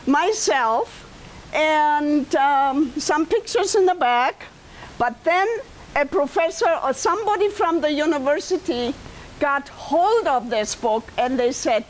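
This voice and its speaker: An older woman with a heavy German accent, giving a loud, projected talk to an audience in a library meeting room. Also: high-pitched, slight background noise, poor audio. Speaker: guest speaker. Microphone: far camera.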